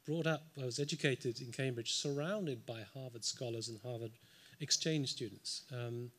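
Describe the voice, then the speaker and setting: A man's voice: mid-range voice, normal speed, a bit bright. A man from the United Kingdom, giving an unscripted panel response in a large lecture hall into a table microphone, thoughtful with frequent ums and dry asides. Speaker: symposium panelist.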